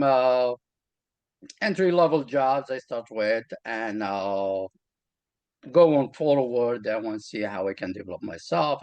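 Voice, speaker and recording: A man from Morocco, with a Moroccan accent and Arabic as his first language, talks about his career as a real estate agent in a halting way, with many fillers and restarts. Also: mid-range voice, no room sound. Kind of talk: casual interview talk.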